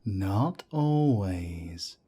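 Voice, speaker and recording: A man playing a wry, world-weary werewolf council elder in an audio roleplay drama, speaking in a measured, deliberate way. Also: expressive, warm tone, exaggerated voice. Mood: grave, instructive. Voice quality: deep, husky.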